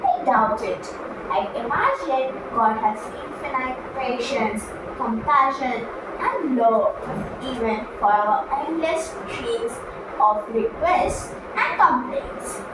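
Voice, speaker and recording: A woman voicing an earnest, devout farmer as a puppet guest in a scripted show, with halting, deliberate phrasing. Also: sits high, lively intonation. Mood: earnest, thoughtful. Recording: very echoey room, distant mic, noisy.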